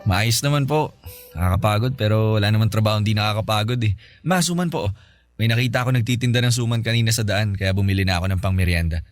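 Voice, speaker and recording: Actor as fast-talking, polite young Filipino. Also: male voice, on the low side, faint music behind, lightly acted. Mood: polite, easygoing. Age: young adult. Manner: respectful, considerate. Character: Wawi, polite, generous young working nephew. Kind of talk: Filipino radio drama acting.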